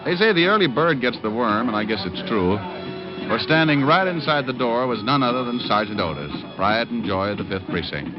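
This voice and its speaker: Actor as a wry radio private eye, lively American. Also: male voice, mid-range voice, fairly quick, lightly acted. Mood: wry narration. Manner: wry, sardonic, quippy. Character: wisecracking, skeptical male private detective, loyal friend. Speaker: lead actor and first-person narrator. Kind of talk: 1940s-50s radio detective acting, dialogue and narration. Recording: dull old radio recording with music.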